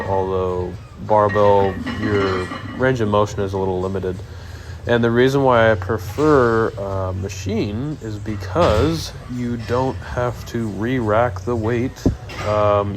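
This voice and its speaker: A man's voice: fairly low pitch, background noise. A young man with a cold and a nasal voice, casually talking through his workout in a rambling voice-over full of ums. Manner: laid-back, rambling, many ums. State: stuffy nose from a cold. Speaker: fitness vlogger. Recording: voice-over over clear background music. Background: music playing underneath.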